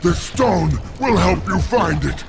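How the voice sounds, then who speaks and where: An actor playing a gluttonous, cowardly male demon in a video game, theatrical and drawn-out, given to pleading and bluster. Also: high voice, animated, creaky, heavily acted. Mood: panicked pleading. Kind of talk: video game villain voice acting. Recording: music under the voice.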